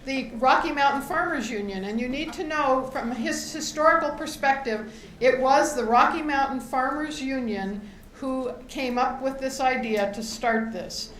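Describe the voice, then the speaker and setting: Loud enthusiastic woman addressing a hall. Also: high voice, moderate pace, slight background noise. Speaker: outgoing board member opening a meeting. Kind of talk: speech at a membership meeting. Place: event hall with an audience. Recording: echoey hall with a faint crowd.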